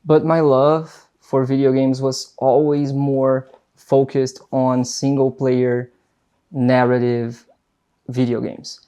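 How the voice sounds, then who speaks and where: A man's voice: mid-range voice, little pitch movement, takes it slowly, mellow, clean tone. A young Brazilian man with an accent, English not his first language, rambling casually to camera in an unscripted vlog, full of fillers and restarts. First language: Portuguese. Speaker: vlogger.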